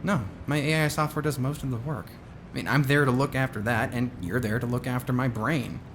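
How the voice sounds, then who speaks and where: A man's voice: medium pitch, steady tone, a little fast, a bit bright, slight background noise, close to an everyday voice. A man playing a confident, glib billionaire space colony founder in a naturalistic audio drama, talking in a casual, assured, persuasive way. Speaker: voice actor in a musical audio drama.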